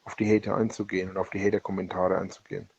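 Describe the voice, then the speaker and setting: A man speaking a rambling personal voicemail, halting and often correcting himself, with a husky voice. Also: deep voice, flat delivery, darkish tone, dry recording. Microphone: voice message recorded on a phone. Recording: dull lo-fi leaked voicemail recording.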